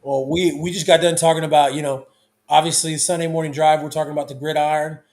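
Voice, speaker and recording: A hoarse young man hosting a casual football talk show, talking loosely with lots of restarts. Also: a little high, level tone, fast, darkish tone. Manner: loose, conversational, with many restarts. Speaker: sports talk show host.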